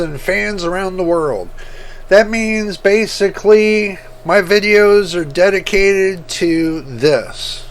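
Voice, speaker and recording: A man hosting his computer-building channel, talking casually and rambling, with a rough voice that repeats points as he goes. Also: sits high, fairly bright tone. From United States. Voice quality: rough, gravelly voice. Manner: slow, rambling, repeats himself. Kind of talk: casual talk to camera.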